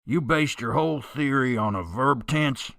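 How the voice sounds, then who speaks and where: A man's voice: medium pitch, normal speed, gravelly, close to an everyday voice. A man playing a guilty airport mechanic in a radio drama, hesitant, evasive and indignant when accused. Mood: shocked, incredulous. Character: guilty airport mechanic, defensive killer cornered into confessing. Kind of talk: amateur radio drama acting.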